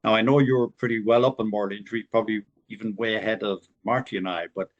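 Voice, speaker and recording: A man's voice: fairly low pitch, steady tone, fast, lo-fi sound. A man hosting a podcast from Ireland, talking in a rambling, informal way with many fillers. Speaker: podcast host. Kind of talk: podcast interview.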